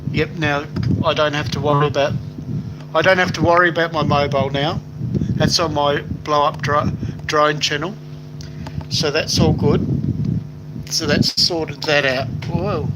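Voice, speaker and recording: Brief: older Australian man, rambling live-stream chat, slightly high voice, noisy background. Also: steady tone, measured pace, pauses often. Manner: rambling, lots of fillers, stop-start phrasing. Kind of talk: casual live stream chat, reading comments aloud. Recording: noisy home recording.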